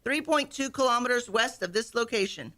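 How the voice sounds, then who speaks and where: Woman as science officer, highish pitch, forceful clear-cut tone, dutiful, factual, sci-fi radio drama. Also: expressive, a little fast. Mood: businesslike. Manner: crisp, factual reporting. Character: dutiful, level-headed female science lieutenant aboard a starship. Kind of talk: science fiction radio play acting.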